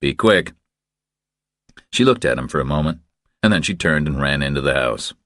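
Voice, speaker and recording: A man reading scripted thriller fiction as an audiobook narrator, in a measured, deliberate storytelling manner. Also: a bass voice, animated, a bit bright, dry recording, clean studio sound.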